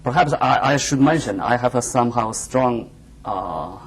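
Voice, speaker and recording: A man's voice: medium pitch, animated. Male researcher with a Chinese accent, mid-range voice, careful and formal seminar delivery. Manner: formal, careful, deliberate phrasing. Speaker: visiting researcher giving a seminar. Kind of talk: academic presentation. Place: university lecture room, indoors.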